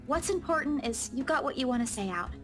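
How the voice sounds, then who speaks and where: High, lively young woman, caring and proud. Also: fast, faint music behind. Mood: subdued, reassuring. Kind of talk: visual novel voice acting.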